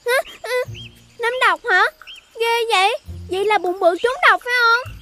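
A performer voicing an eager, naive young animal sidekick in a children's cartoon, excitable and full of questions, with a southern Vietnamese accent. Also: very high voice, moderate pace, slight background noise. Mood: anxious. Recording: loud music behind the voice.

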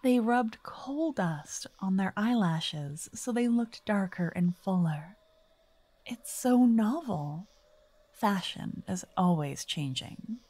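Casting: wealthy vampire authoress played by a woman for ASMR roleplay; theatrical, doting tease, unhurried, mellow, breathy half-whisper. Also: mid-range voice, animated, no room sound. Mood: wistful, playful. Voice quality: soft, breathy, often whispered. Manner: teasing, dreamy, old-fashioned wording. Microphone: close, dry microphone.

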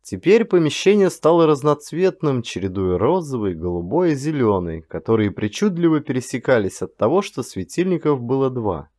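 A man's voice: mid-range voice, sing-song, moderate pace, hardly any pauses, a little mellow, no room sound. A man reading a first-person audiobook thriller as a dramatic scripted narration.

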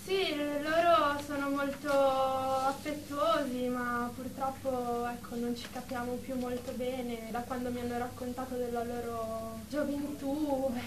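A woman's voice: sits high, little pitch movement, measured pace, few pauses. An actress playing a fragile, nervous young female shop assistant in therapy, hesitant and evasive, in a radio drama. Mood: polite, evasive. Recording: roomy, distant mic, noisy background.

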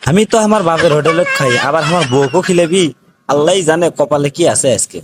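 A man acting as a high-voiced young village boyfriend in a comedy cartoon, with a North Bengal Bangladeshi accent. He whines and frets in an exasperated comic way, with clear background noise. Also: fast, close to an everyday voice. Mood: anxious, grumbling. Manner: whining, exasperated comic complaining. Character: anxious, broke young village boyfriend turned reluctant groom.

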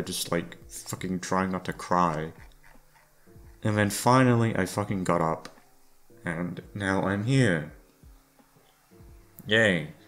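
Low-pitched man, gravelly, tired and downcast, rambling casual monologue, hesitant, sweary, music behind. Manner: sweary, hesitant, self-deprecating. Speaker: audio creator talking to listeners. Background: soft background music.

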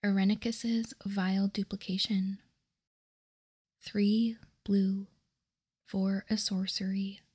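A woman doing whispered ASMR in a soft, breathy, unvoiced whisper, reading out card text calmly and deliberately, close to the microphone. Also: mid-range voice, no room sound. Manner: slow, deliberate, calm reading. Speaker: ASMR video host. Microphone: close microphone, dry sound.

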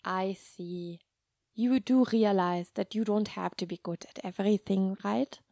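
A woman voicing a kind, patient nun in a children's story, speaking softly and reassuringly with gentle humor. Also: medium pitch, fairly even intonation, vocal fry, no echo. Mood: gentle, probing. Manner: soft, measured, reassuring.

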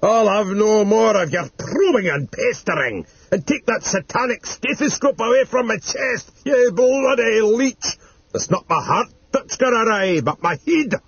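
A man voicing a dying, raging old grandfather in a storybook, with a strained, bellowing delivery and drawn-out cursing. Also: fairly high pitch, sing-song, slightly rough, no room sound, lo-fi sound, full character voice. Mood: furious. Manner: ranting, cursing in drawn-out outbursts.

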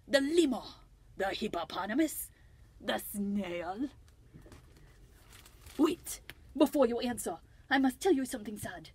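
A woman's voice: on the high side, a little mellow, husky, exaggerated voice. Woman putting on a vain, dramatic French snail. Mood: vain, coaxing, then mock sorrowful. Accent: exaggerated mock French. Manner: pompous, theatrical. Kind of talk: storybook read-aloud character.

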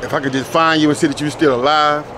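A man's voice: on the high side, little pitch movement, fast, background noise. A man hosting a casual street interview from behind the camera, teasing and repeating himself, outdoors. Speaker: street interviewer and channel host. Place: outdoors by a building and parking lot.

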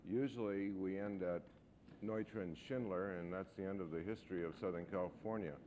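Deep-voiced man introducing a guest speaker. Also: steady tone, warm tone. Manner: measured, halting, with frequent uh pauses. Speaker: architecture school host introducing a guest speaker. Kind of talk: formal lecture introduction. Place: lecture hall. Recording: dull, faintly noisy 1970s videotape.

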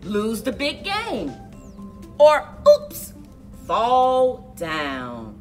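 Very animated woman reading to children, unhurried. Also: a little high, far from the mic, soft background music. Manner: exaggerated, drawn-out storyteller delivery for young children. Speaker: children's librarian, story time host. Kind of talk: expressive picture-book read-aloud and leading action activity for children. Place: indoors, children's library room.

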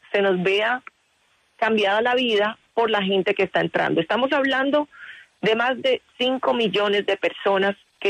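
A woman's voice: medium pitch, vocal fry, no room sound, rough recording. A woman answering a radio interview with earnest insistence and frequent restarts. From Colombia. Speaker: interview guest.

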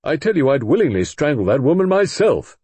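A man voicing an excitable, vengeful middle-aged Englishman who talks loudly and indignantly. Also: a little high, a little fast, breathy edge, no room sound, voice pushed up. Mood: outraged. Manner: loud, blustering, indignant.

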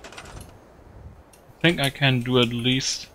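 A man giving casual gameplay commentary, thinking aloud in a halting, repetitive way. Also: medium pitch, bright, forceful tone. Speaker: gaming video host.

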